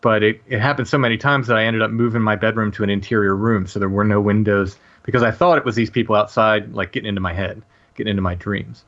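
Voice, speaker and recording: Low-voiced man telling a story haltingly. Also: steady tone, fairly bright tone, lo-fi sound. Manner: halting, many restarts and fillers. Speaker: podcast guest. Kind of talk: casual storytelling.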